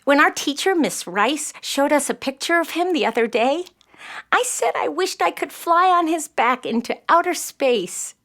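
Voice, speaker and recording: Nine-year-old know-it-all girl, earnest and eager, high and very animated. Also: normal speed. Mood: confiding. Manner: earnest, chatty, explaining. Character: bright, eager, spirited nine-year-old girl who loves facts. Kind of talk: children's audio play acting.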